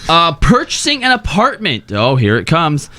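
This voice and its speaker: Animated, higher-pitched man bantering and reading news. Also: normal speed. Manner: joking, sarcastic. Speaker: podcast host reading game news. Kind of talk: casual banter mixed with reading aloud.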